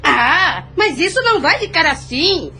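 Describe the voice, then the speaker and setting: A woman's voice: extremely high pitch, sing-song, a little slow, bright, forceful tone, faint music behind, heavily acted. A Brazilian woman playing a haughty, indignant aunt in a formal audio drama, speaking with scorn. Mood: outraged. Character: indignant, haughty aunt contesting her father's will.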